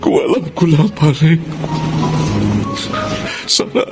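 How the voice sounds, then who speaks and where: A man acting a grieving, devoted widowed father in an emotional, halting radio drama style. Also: fairly high pitch. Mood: anguished grief. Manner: emotional, halting delivery with repeated words. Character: grieving widowed father, devoted and anxious about his children. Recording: music under the voice.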